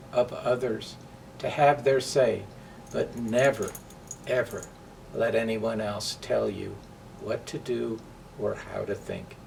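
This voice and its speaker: A man speaking earnestly and deliberately to viewers as a storybook reader, with emphatic phrasing. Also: mid-range voice, creaky, darkish tone. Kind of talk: read-aloud storytime and personal address to viewers. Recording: distant mic with faint background noise.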